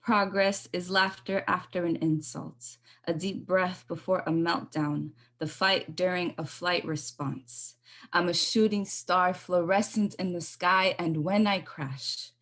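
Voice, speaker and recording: A woman poet on a video call, reading her own spoken word poetry in a measured, earnest way and chatting casually between poems. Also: fairly low pitch, steady tone, fairly bright tone. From United States.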